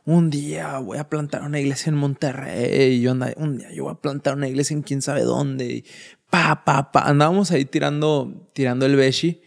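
A man's voice: mid-range voice, fairly even intonation, creaky. A man in his thirties from Mexico, co-hosting a podcast in a playful, teasing storytelling chat. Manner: playful, teasing, fast asides. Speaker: podcast co-host.

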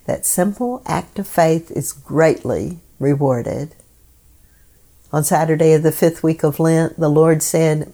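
A woman hosting a devotional program, reading scripted meditations in a solemn, measured way. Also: sits low, warm tone.

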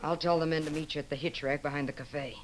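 A woman's voice: deep voice, steady tone, a little hiss or hum, close to an everyday voice, poor audio. An actress playing a shrewd, commanding female cafe owner and gang boss in a 1950s radio western. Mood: conspiratorial. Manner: brisk, commanding, folksy.

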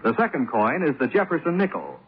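An American man delivers a formal public-service message for 1950s radio. His mid-pitched voice swings expressively in earnest, oratorical phrasing, on a muffled vintage recording. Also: wildly swooping pitch, fairly quick. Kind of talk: scripted 1950s radio announcement.

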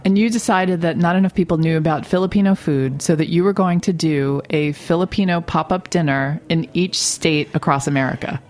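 A woman's voice: on the low side, fairly quick, few pauses, darkish tone. A woman co-hosting a radio show, chatting in a friendly way with lots of fillers. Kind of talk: casual interview chat.

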